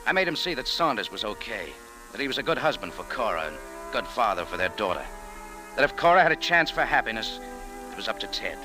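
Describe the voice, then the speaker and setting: A man's voice: on the low side, very animated, fast, rough recording. A man with an American accent playing a tough, sardonic café owner and sleuth in an old-time radio drama, clipped and hard-boiled. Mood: wry, brisk. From United States. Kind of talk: old-time radio detective narration and acting. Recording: old radio drama, faint music behind.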